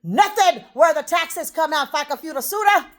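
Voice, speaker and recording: A woman ranting in a rambling, profane monologue, swinging between loud outbursts and low muttering. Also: expressive, normal speed. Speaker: vlogger.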